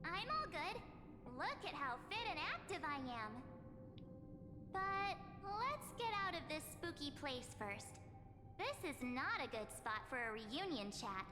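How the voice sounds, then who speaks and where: A bubbly, chatty young female companion with a high, bright voice. Her lines sound roomy and reverberant, with loud game music playing behind. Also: very animated, unhurried.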